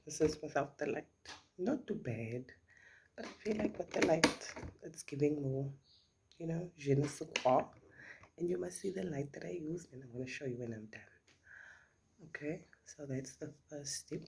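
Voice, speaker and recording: A woman's voice: low-pitched, animated, moderate pace, gravelly, very noisy. A middle-aged South African woman, Afrikaans her first language, chatting casually as she does her makeup for a vlog, often dropping into a whisper. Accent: South African English. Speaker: beauty vlogger. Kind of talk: casual makeup chit-chat.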